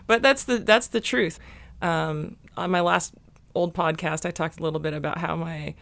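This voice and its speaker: A young woman with a hoarse, gravelly voice, pitched a little low. She chats on a podcast in a halting way, with lots of ums and a dry, self-mocking wit. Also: a bit bright. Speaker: podcast co-host. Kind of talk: casual podcast chat.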